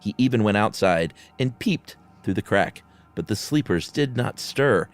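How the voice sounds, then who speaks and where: Deep-ish guy narrating a spooky story, casual host vibe, faint music behind. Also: animated, a little slow. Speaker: podcast host and story reader. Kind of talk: dramatic horror narration with casual asides.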